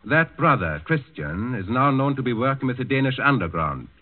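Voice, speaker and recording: A man's voice: mid-range voice, very animated, normal speed. A man acting a stern, cold Nazi officer who questions formally and imperiously in a 1940s radio drama. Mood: stern, probing. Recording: muffled 1940s radio broadcast recording.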